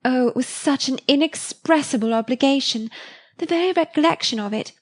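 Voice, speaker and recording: A woman's voice: high voice, a little fast, pitched above the performer's own voice. A woman voicing a timid, sentimental young woman who speaks in an eager, breathless way, in a storybook reading. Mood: gushing.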